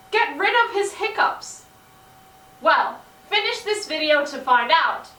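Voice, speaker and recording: A woman's voice: sits high, pushed and bright, distant mic. A woman hosting a children's read-aloud channel, giving scripted lines to viewers. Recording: roomy echo, away from the mic.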